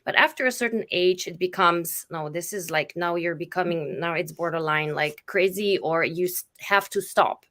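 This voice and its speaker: A woman's voice: lowish voice, normal speed, few pauses, a bit bright. A woman from Slovenia whose first language is Slovenian, talking in a casual panel chat with many fillers and restarts. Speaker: facilitator on a panel.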